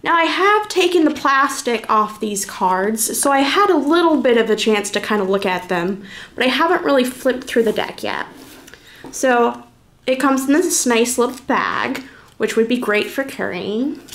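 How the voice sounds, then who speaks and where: A woman's voice: fairly high pitch, lively intonation, normal speed, fairly bright tone. A woman talking casually and informally as she gives a first-impressions review, thinking aloud as she goes. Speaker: reviewer presenting a card deck.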